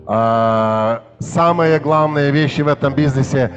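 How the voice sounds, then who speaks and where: Brief: mid-pitched man, stage interpreter, steady delivery, clear music underneath. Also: measured pace, lo-fi sound. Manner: steady, follows the speaker phrase by phrase.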